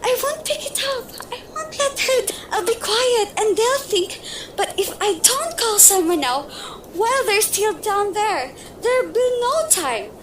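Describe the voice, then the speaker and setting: A woman's voice: very high-pitched, lively intonation, unhurried, few pauses, a little hiss or hum. A young actress in a student radio play, voicing a fretful, demanding invalid woman who grows panicked. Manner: fretful, imperious, rising to panic. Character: frightened bedridden wealthy woman, demanding and nervous. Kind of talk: amateur radio play acting.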